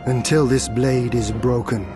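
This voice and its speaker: A young man acting as a noble exiled warrior lord in a video game, earnest and teasing, with formal, measured, archaic phrasing. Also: mid-range voice, steady tone, over music, lightly acted. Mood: solemn resolve. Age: young adult.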